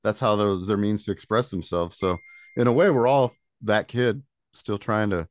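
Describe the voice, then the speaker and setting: A man's voice: on the low side, normal speed. An American man hosting a podcast, chatting in a casual, rambling, informal way. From Indiana, United States. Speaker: podcast host. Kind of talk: casual chat.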